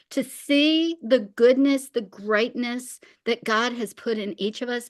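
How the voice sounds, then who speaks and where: High-voiced woman, emphatic faith teacher. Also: a bit bright. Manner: emphatic, repeats phrases for weight. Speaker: interview guest, faith teacher.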